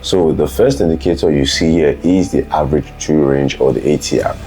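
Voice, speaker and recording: A man's voice: extremely low pitch, a little fast, mellow, faint music behind. A man giving an unscripted instructional walkthrough as a tutor, methodically explaining and repeating key points. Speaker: host, trading tutor.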